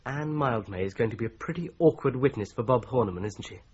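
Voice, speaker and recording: Husky, discreet British investigator. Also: male voice, mid-range voice, expressive, quick pace, darkish tone, muffled recording. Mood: pondering. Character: discreet, thoughtful male amateur investigator. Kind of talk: 1980s British radio drama acting.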